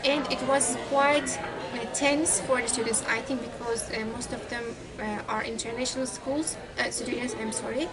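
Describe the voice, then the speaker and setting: A woman describes lessons she observed, her voice a touch high. She pauses often and searches for words. A dense wall of crowd chatter surrounds her. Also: steady tone. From Turkey. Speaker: English teacher. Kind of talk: interview answers. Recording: very loud crowd chatter behind.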